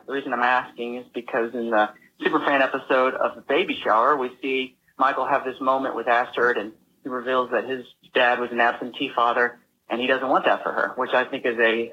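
A man from Texas with a husky voice leaving a casual voicemail as a caller, on a dull lo-fi recording. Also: medium pitch, fairly even intonation, fairly quick, pushed and bright. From Texas, United States.

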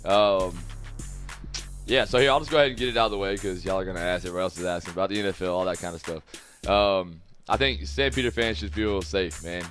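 A man's voice: low voice, fast talker, fairly bright tone, faint music behind. A man in his twenties from the United States, a football player talking candidly and easily as a guest in a studio. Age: 20s. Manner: candid, easygoing, loose and rambling. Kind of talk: casual interview talk. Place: studio room with several people.

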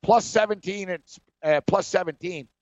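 A man's voice: sits high, normal speed, muffled recording. A man hosting a sports betting talk show, chatting casually, laughing often and tripping over his words.

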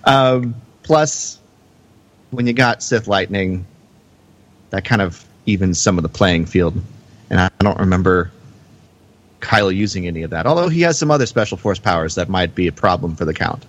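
A man hosting a casual podcast, talking in a halting way with repeated words. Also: deep voice, highs cut off. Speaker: podcast host.

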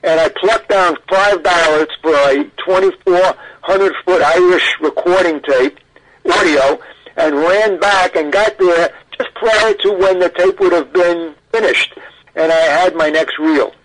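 Older New man, higher-pitched voice. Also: measured pace, a bit bright, low-quality recording. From Brooklyn, New York. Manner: talkative, emphatic, self-interrupting. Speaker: interview guest, audio archivist. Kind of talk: animated personal recollection in a radio interview.

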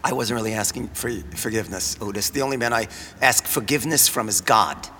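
An actor playing Jonah, an irritable, flustered prophet, in an audio drama. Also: male voice, lowish voice, a little fast, sharp tone. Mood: irritated. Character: exasperated, panicky man named Jonah, a prophet. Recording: long hall reverb, faint noise.

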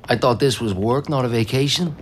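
A man's voice: mid-range voice, fairly quick, a little hiss or hum, close to an everyday voice. An actor playing a wry, casual, grumbling man on a work trip in an audio drama.

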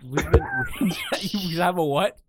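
Sarcastic guy hosting a sports pod, voice jumps all over, kinda high. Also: huge pitch swings, slow pace, a crowd in the background. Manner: teasing, sarcastic. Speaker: podcast host. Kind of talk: casual sports chat.

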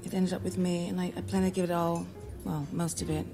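Actress as a low, mellow, blunt young heiress. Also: female voice, flat delivery, brisk, soft background music, natural voice. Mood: dry, defiant. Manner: blunt, wry, unguarded. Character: blunt, free-spirited young rebellious heiress. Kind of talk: naturalistic screen drama acting.

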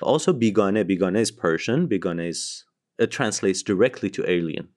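A man's voice: fairly low pitch, lively intonation, normal speed. A man co-hosting a podcast, explaining things in a casual chat with dry, teasing humour. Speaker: podcast co-host explaining language.

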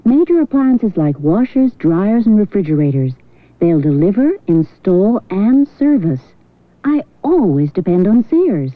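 A woman's voice: mid-range voice, big pitch swings, measured pace, no room sound. An actress playing a practical, assured mother giving advice in a scripted 1970s radio commercial. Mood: assured, advising. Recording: tinny, dry vintage radio broadcast.

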